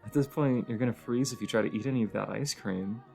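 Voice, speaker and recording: A woman's voice: quick pace, dark tone, soft background music. A woman playing a cozy, teasing young roommate with a shy crush in an intimate audio roleplay, her voice sleepy and grumbly. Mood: playful, warm. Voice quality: sleepy, grumbly low voice with creak.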